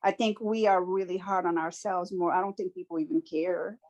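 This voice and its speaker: A woman from Morocco, speaking as a guest in a conversational interview. She talks earnestly and reflectively, often pausing and restarting her sentences. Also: lowish voice, steady tone, a little mellow, lo-fi sound. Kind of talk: conversational personal testimony.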